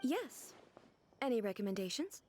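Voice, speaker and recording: Regal immortal female ruler, fairly high voice, composed, formal, innocently curious, anime game, music behind. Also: big pitch swings, moderate pace, a little mellow. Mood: calm.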